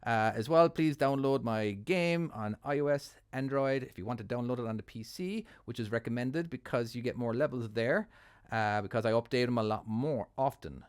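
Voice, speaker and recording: An Irish man in casual commentary, rambling and self-deprecating, laughing as he goes. His voice sits at an ordinary middle pitch. The sound is dry and close, with no room around it. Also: moderate pace, smooth, dry recording. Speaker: trucking channel host.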